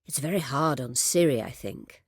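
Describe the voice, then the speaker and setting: An actress playing an imperious, scheming middle-aged sorceress in a video game, speaking formally and with measured, biting sarcasm. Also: female voice, very deep voice, lively intonation, slightly rough, no echo, clean studio sound, heavily acted. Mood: sardonic, haughty. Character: imperious, scheming sorceress, proud, sardonic and vengeful. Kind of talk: video game character dialogue.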